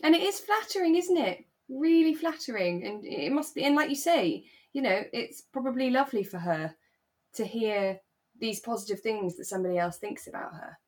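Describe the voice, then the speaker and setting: A woman co-hosting a podcast, recapping in relaxed, conversational chat and agreeing readily. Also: on the high side, normal speed. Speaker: podcast co-host. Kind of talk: relaxed, casual chat recapping a radio drama.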